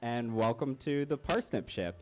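A man's voice: animated, moderate pace, loud music behind the voice. A man co-hosting a live show, talking casually as he introduces things. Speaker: show co-host. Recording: faintly noisy live recording, dulled highs.

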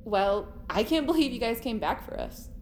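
An actress playing a brash, upbeat, warm-hearted young woman in an audio drama. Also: fairly high pitch, big pitch swings, normal speed, a little hiss or hum. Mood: amazed.

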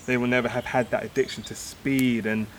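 Wistful idealist played by a man, halting and reflective, naturalistic audio drama, faint outdoor birds and insects. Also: mid-range voice, level tone, a little hiss or hum, natural voice. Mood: reflective, hopeful. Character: wistful departing man, hopeful philosophising idealist, father.

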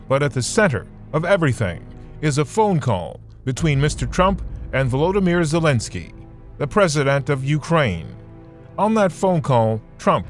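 A man hosting a podcast, reading scripted news narration in a measured explanatory way. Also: a little high. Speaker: podcast host.

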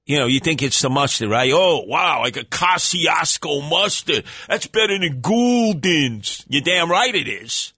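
A man's voice: lively intonation, a little slow, hardly any pauses, bright, forceful tone, slightly rough, no room sound, studio quality. A man hosting a talk radio show, brash and mocking, bantering with callers. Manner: brash, mocking, theatrical swings in pitch. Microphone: studio microphone.

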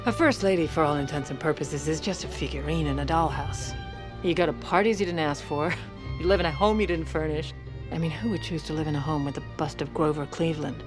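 Actress as a wry, low-voiced Lady. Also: fairly quick, over music, natural voice. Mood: wry, confiding. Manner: dry, wry, controlled. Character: wry, scheming, self-assured American First Lady. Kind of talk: audio drama narration and dialogue.

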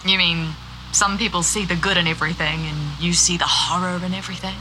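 An actress with a New Zealand accent playing a chatty, curious and friendly local interviewer in a naturalistic audio drama. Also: female voice, on the low side, steady tone, fast, background noise, natural voice. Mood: inquisitive. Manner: chatty, inquisitive.

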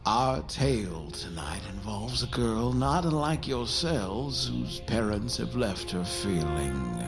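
An actor playing a sinister, gleeful horror host with a gravelly voice, savoring his words in theatrical narration with menacing courtesy. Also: mid-range voice, very animated, slow pace. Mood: ominous. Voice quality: gravelly, creaky. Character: sinister, gleeful male horror tale host who relishes fear. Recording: loud music bed behind the voice.

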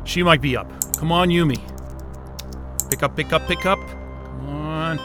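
A man's voice: fairly high pitch, measured pace, music in the background, lightly acted. An actor playing an agitated, foul-mouthed male astrophysicist in an audio drama, swearing and stammering. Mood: frustrated, muttering. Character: panicked, foul-mouthed astrophysicist trapped in a remote station. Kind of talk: naturalistic audio drama acting.

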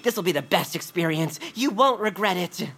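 A woman's voice: on the low side, lively intonation, moderate pace, creaky, a bit bright, slightly rough, full character voice. A woman playing an eager, chatty, self-assured young male bird in a family audio drama, gushing and rambling with nervous giggles. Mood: excited. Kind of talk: family audio fiction acting.

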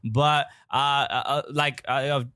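A man hosting a casual soccer podcast, talking haltingly with many fillers and laughing often. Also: medium pitch, steady tone, bright, forceful tone, clear, resonant tone, no room sound, clean studio sound. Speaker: podcast host.

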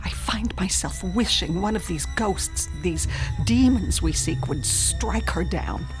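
A woman's voice: fairly low pitch, animated, unhurried, music in the background, low-quality recording. A woman playing a possessive, scornful spirit medium in a radio horror drama, with grand, dramatic phrasing. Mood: intense, bitter longing.

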